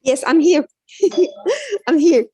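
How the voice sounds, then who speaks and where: Halting, slow-spoken woman poet. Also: extremely high pitch, sing-song, dark tone, slight background noise. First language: Arabic and French. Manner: halting, many fillers and restarts. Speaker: poet, panel guest. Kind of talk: webinar panel answer.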